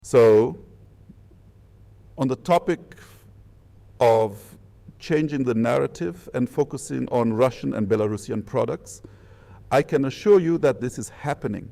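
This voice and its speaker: A man with a Polish accent, speaking deliberately, phrase by phrase, in a measured diplomatic phone conversation. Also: mid-range voice, gravelly, warm tone. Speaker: government minister.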